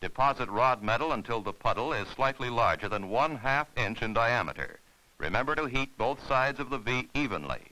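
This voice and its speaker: Bright, forceful male instructional narrator. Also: medium pitch, animated, moderate pace, low-quality recording. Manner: clear step-by-step instructing, formal.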